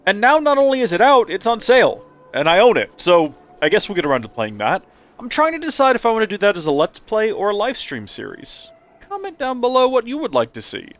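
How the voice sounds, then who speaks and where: A man's voice: high voice, huge pitch swings, fairly quick, fairly bright tone, faint music behind. A man hosting a let's play with casual, rambling commentary, his nose stuffy and a little nasal. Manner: chatty, rambling asides.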